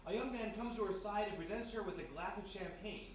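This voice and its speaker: Male narrator, scripted radio drama storytelling, very echoey live room, clear background noise. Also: high voice, fast talker. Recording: very echoey live room, distant mic, noisy.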